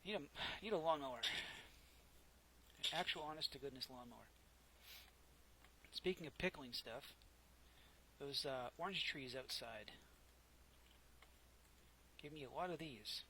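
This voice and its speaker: A soft-spoken man casually narrating his own gameplay, rambling and correcting himself as he goes, close to a desk microphone. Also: mid-range voice, measured pace, pauses often, slight background noise, low-quality recording. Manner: rambling, self-correcting, chatty. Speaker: gameplay commentator. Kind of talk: casual let's play commentary.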